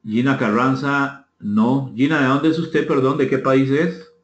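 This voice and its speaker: A Costa Rican man with a medium-pitched voice presents an interactive online seminar. He is courteous and conversational, often repeating phrases as he invites participants in. The sound is dull and echoey, as from a reverberant room. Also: steady tone, a little slow. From Costa Rica. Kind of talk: interactive webinar talk, reading out chat comments. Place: indoor room, online session.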